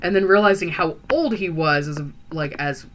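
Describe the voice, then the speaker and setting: A woman's voice: sits low, moderate pace, slight background noise, close to an everyday voice. A woman playing a chatty, earnest young female vampire who talks in a rambling, hesitant way full of fillers and restarts. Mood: musing. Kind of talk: in-character roleplay monologue.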